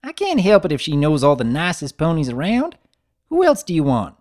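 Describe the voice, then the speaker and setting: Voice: mid-range voice, wildly swooping pitch, fairly quick, a little mellow. A narrator voicing an eager, chatty young filly with folksy manners in a cartoon fan-fiction reading. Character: perky young filly, country pony little sister.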